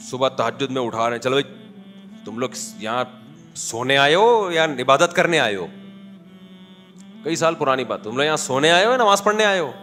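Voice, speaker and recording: A man preaching in a conversational, animated way, telling anecdotes with quoted dialogue and rhetorical questions. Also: medium pitch, long reverb tail. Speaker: Islamic preacher. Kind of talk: conversational religious talk.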